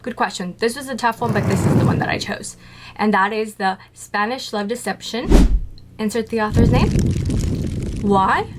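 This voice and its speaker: A woman talking to camera about her tarantulas, chatty and playful, asking herself questions and then answering them. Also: mid-range voice. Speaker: tarantula keeper vlogger. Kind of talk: casual scripted talk to camera.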